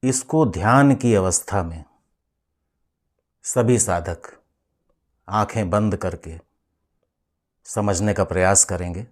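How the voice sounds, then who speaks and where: Male meditation guide and teacher: fairly low pitch, very unhurried pace, measured and instructive delivery with deliberate pauses. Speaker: professor leading a guided meditation.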